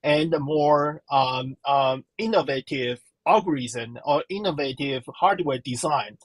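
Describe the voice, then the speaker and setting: A slow-spoken woman gives interview answers in a husky voice. She halts often and fills the gaps while she searches for her words. The sound is dry and close. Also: very deep voice, no room sound. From Taiwan. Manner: halting, searching for words, many fillers. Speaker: professor and guest.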